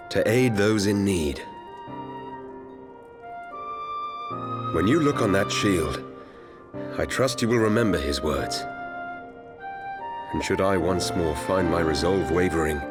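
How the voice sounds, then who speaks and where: An actor playing an earnest, dutiful young nobleman, speaking formally and solemnly in a game cutscene. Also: low-pitched. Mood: reflective, sincere. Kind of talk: video game cutscene acting, archaic formal speech. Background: prominent orchestral music.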